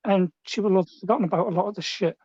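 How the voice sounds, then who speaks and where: A man's voice: high-pitched, very fast, a little mellow, dry recording, lo-fi sound. A man co-hosting a casual chat, speaking bluntly and profanely in long rambles. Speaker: co-host.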